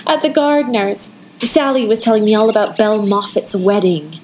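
A woman playing a proper, genteel young lady in a period audio play, prim and gently scolding. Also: mid-range voice, lively intonation, normal speed, close to an everyday voice. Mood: polite, wistful. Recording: noticeable background noise.

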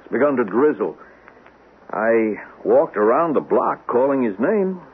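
A man's voice: medium pitch, big pitch swings, measured pace, husky, heavily acted. An actor playing a matter-of-fact, informal man out searching for a friend in an old-time radio drama. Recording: tinny, narrow-band old radio recording, hiss.

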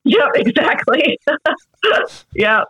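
A woman's voice: high voice, big pitch swings, drawn-out words, fairly bright tone, no echo. A young American woman from Massachusetts chatting casually and gigglingly as an interview guest. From United States, Massachusetts. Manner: giggly, chuckles while talking. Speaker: actress being interviewed as guest. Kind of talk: casual chat. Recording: dull, slightly lo-fi close recording.

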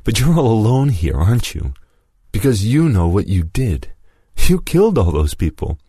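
A man voicing Lewis, an angry, vengeful former comrade who shouts accusations and whose voice cracks. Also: on the low side, sing-song, moderate pace, breathy edge, no room sound, studio quality, voice pushed up, heavily acted. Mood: snarling threatening.

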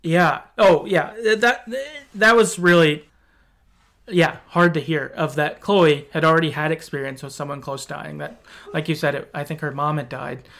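Higher-pitched man recapping a story casually. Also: moderate pace, vocal fry, fairly bright tone. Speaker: podcast host. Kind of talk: casual podcast discussion, recapping a game's story.

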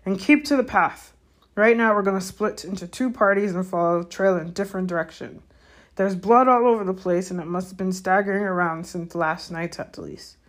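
A woman voicing a big, gruff male gamekeeper with rustic, commanding speech for a storybook reading. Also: medium pitch, fairly quick, natural voice. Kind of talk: audiobook character voice.

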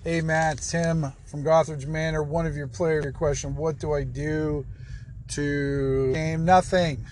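A man's voice: a little high, fairly even intonation, slow pace, darkish tone, a little hiss or hum. A man leaving a blunt, emphatic voice message for a podcast. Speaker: caller. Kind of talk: recorded voice message.

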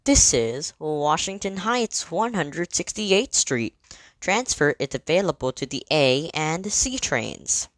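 A woman delivering scripted train announcements in a measured, formal, clearly segmented manner. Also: low voice, fairly bright tone, no echo.

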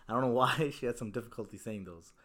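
A man's voice: mid-range voice, brisk. Slangy man telling a story on a podcast. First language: Urdu. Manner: animated, slangy storyteller who says "yo" a lot. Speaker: podcast co-host. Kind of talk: casual podcast chat.

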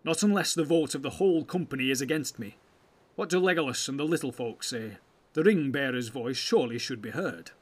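A man's voice: medium pitch, brisk, breathy edge, natural voice. A man playing a proud, skeptical warrior in a fantasy audiobook, with formal, declamatory, challenging speech. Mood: defiant. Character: proud, skeptical male warrior, forceful and blunt.

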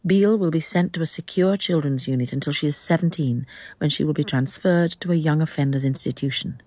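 An actress playing a sober narrator who reads out grim evidence in a radio drama. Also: female voice, sits low, brisk, warm tone, no room sound. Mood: grave, hushed.

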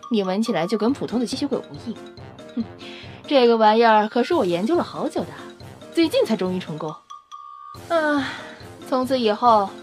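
A woman playing a confident, teasing scientist in an audio drama, brisk and matter-of-fact with a playful, self-satisfied manner. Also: on the high side, close to an everyday voice. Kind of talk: Chinese audio drama acting.

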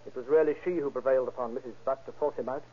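An actor playing a polite gentleman witness with formal wording in an old radio drama. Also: medium pitch, fairly even intonation, very fast, close to an everyday voice. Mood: courteous. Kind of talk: 1930s radio acting. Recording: muffled old radio recording.

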